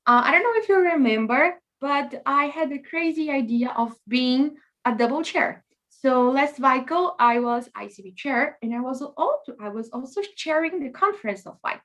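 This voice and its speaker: High-voiced enthusiastic woman hosting a conference. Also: lively intonation, normal speed, a bit bright, rough recording. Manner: chatty, enthusiastic, coaxing the audience to join in. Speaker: conference chair and host. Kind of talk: live online conference opening.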